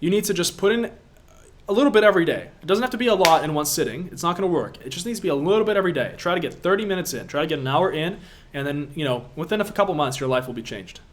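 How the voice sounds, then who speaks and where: A man's voice: a little high, lively intonation, rapid-fire. Emphatic young man running a live Q&A. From Latvia. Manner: emphatic, repetitive, pushy. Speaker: online business coach. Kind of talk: live Q&A stream.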